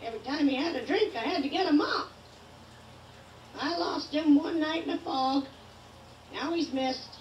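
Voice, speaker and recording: A woman playing a theatrical witch who tells spooky puns in a drawn-out joke delivery, like a Halloween prop. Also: high-pitched, distant mic, dull audio, close to an everyday voice. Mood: playful. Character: cackling female witch telling spooky puns, slow and theatrical. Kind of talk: Halloween prop comedy recording. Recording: roomy playback from afar, background noise.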